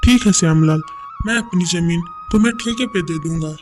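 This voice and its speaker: A man plays a scheming rich landowner from a village cartoon. The voice is high for a man and speaks with bossy self-satisfaction, with music underneath. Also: moderate pace, natural voice. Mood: businesslike. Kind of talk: animated moral story dialogue in Hindi.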